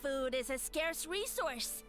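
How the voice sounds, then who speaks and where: A woman's voice: high voice, animated, slow pace, fairly bright tone, soft background music, exaggerated voice. A woman voicing a boastful little mushroom deity in a video game, speaking in a pompous, theatrical way. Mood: grandiose. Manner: pompous, theatrical, self-important. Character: boastful mushroom deity seeking worshippers, small and grandiose. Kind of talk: video game character acting.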